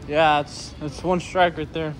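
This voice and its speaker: A man's voice: on the high side, flat delivery, measured pace, background noise. A young man vlogging with friends in a large supermarket, joking and teasing as he films on a handheld phone. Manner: joking, teasing, laughs often. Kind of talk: casual banter while filming.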